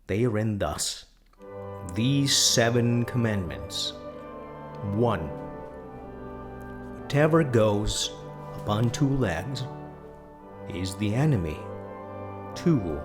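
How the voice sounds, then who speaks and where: A man reading a scripted storybook narration in a measured, deliberate manner. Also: fairly low pitch.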